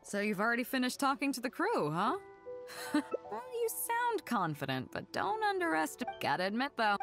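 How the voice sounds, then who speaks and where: A woman playing a confident, playful, hearty female ship captain in a video game, with a breezy, teasing, expressive delivery. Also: high-pitched, normal speed, heavily acted. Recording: music behind the voice. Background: game music.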